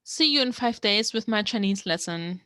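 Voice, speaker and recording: A woman from Germany, hosting a language channel and slowly translating manga lines word by word. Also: medium pitch, expressive, sharp tone, no room sound, clean studio sound. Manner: slow, careful explanation of words. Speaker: language-learning channel host. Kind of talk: reading and translating manga line by line.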